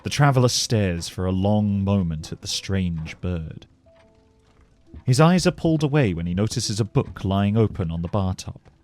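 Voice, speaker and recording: A man narrating a scripted fantasy story as a measured, descriptive storyteller. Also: lowish voice, animated, darkish tone, dry recording, faint music behind.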